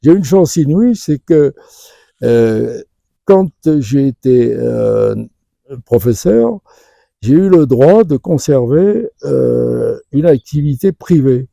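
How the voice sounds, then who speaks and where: An older man from the Vendée in western France reminisces in an informal interview. His voice is deeply gravelled with fry and very soft-edged, captured dry and close. He talks in a chatty, digressive, good-humoured way, wandering from one anecdote to the next. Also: animated, slow pace, dry recording. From Vendée region, western France. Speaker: interviewee, retired dental professor.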